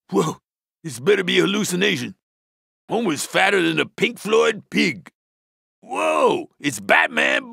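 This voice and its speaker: An actor with an American accent playing a laid-back stoner metalhead school bus driver in a cartoon video game, with a raspy slacker drawl. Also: male voice, sits high, pushed and bright, dry recording, studio quality, exaggerated voice. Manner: spaced-out slacker slang, drawn-out words.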